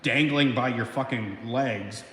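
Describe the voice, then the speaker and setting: A man's voice: medium pitch, a little slow, sharp tone. Rambling man in an echoey garage. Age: 30s. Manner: rambling, swears often, laughs between sentences. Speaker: livestream host reading chat. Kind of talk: casual live-stream chat. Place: home garage, alone by a car lift. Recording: echoey garage with faint background noise.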